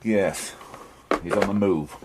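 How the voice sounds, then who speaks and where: An actor playing a weary, curt police inspector in a British radio crime drama. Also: male voice, low voice, takes it slowly, noisy background. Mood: commanding. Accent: British English.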